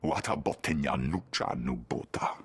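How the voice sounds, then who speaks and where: A very deep, husky alien. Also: male voice, normal speed, full character voice. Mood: matter-of-fact. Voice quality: husky, rough. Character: alien speaking a babbling invented language. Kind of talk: video game voice acting.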